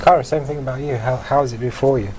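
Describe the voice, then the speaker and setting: A man's voice: mid-range voice, steady tone, fast, mellow, rough recording. A man hosts a talk show and interviews his guests in a loose, informal style. He stumbles and fills gaps with "um" and "uh" as he goes. A faint hiss sits behind his voice. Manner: informal, hesitant, many fillers.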